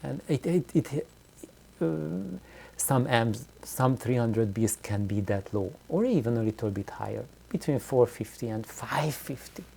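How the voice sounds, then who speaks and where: A middle-aged man giving an unscripted, reflective explanation to viewers, haltingly and with words repeated for emphasis. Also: medium pitch, lively intonation, gravelly, mellow, breathy edge. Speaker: audio hobbyist video host.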